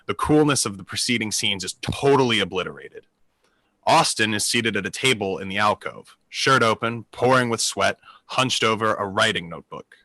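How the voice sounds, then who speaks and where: A man's voice: on the low side, pushed and bright, no room sound, rough recording. A man narrating a play, reading out its stage directions as scripted narration.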